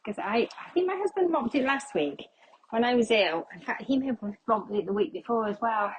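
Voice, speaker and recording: A woman's voice: a little high, lively intonation, a little fast, highs cut off, slight background noise. Breathless, slightly congested woman. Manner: chatty, self-deprecating, thinks aloud. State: a little out of breath, losing her voice. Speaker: cleaning vlogger. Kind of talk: casual chat while cleaning. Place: home kitchen while mopping.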